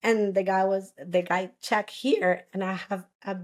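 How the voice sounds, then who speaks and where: Woman from Costa Rica, thirties. Earnest interview guest, halting delivery with frequent restarts. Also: medium pitch, fairly even intonation. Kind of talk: personal story in an interview.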